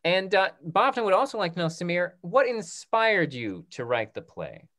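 A man's voice: sits high, very animated, a bit bright. A man in his thirties hosting a live question session on a video call, reading out comments and restarting his phrases as he goes. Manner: halting, restarts and repeats phrases. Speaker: host of an online theatre talk back. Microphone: computer mic on video call.

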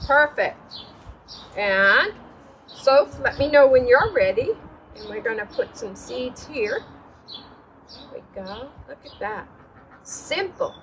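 A woman's voice: fairly high pitch, animated, slow, long pauses, a bit bright, low-quality recording. A woman in her sixties showing young children how to garden, encouraging them with praise and simple instructions. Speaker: children's storytime host. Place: outdoors at a table in the wind. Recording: breezy outdoor noise, birds.

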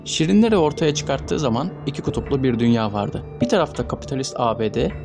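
A man reads scripted narration in speech, in a measured, formal way. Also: mid-range voice, big pitch swings. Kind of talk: scripted narration of trivia video. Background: background music.

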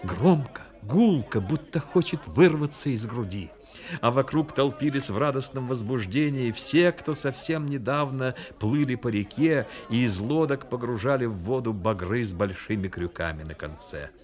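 An actor narrating a Soviet radio play as an earnest, emotive storyteller. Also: male voice, mid-range voice, expressive, moderate pace, a little mellow, lightly acted. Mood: intense, grave.

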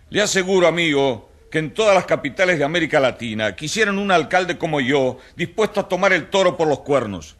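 An actor playing a smug, combative mayor in a radio drama, self-assured, dismissive and declamatory. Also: male voice, mid-range voice, a bit bright. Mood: defiant. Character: smug, combative male city mayor waging war on slums. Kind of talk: radio drama acting, as interviewed politician.

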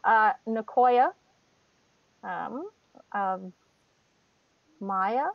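A woman with a mid-pitched voice improvising casually as a game player, rambling and hesitant. Her sound is dry and a bit dull, as on an online call. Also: drawn-out words, vocal fry, dry recording. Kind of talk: casual improvised in-character chat. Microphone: video call.

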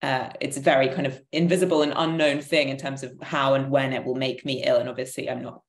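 A woman's voice: a bass voice, level tone, quick pace. A woman in her thirties giving reflective, hesitant answers as an interview guest on a video call, often correcting herself as she goes. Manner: hesitant, thoughtful, self-correcting. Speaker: interview guest, theatre maker. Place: living room at home, indoors, on a video call. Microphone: computer microphone on a video call. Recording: roomy, echoing video-call audio.

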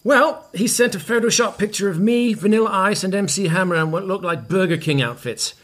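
A man's voice: sits high, brisk, a bit bright. A man acting as a vain, hungover, washed-up rock star in an audio comedy, pompous, petulant and sarcastic. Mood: bitter scorn.

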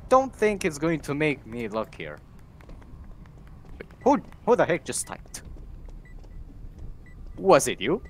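A man playing an online game with friends, excitable and jokey, shouting at the action. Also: a little high, slow pace, long pauses, a little hiss or hum. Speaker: fan player. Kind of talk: casual gaming chat.

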